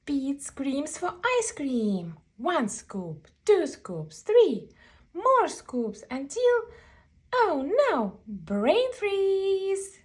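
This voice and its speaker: A woman narrates a children's storybook at an unhurried pace. Her voice sits fairly high and swings widely in pitch. She stretches out phrases for emphasis as she reads. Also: wildly swooping pitch, a little mellow. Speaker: children's book reader. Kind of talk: animated read-aloud picture book narration.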